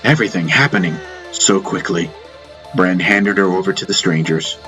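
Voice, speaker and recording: A man narrating a first-person fantasy audiobook in deliberate, dramatic short phrases. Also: deep voice, bright, forceful tone. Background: music.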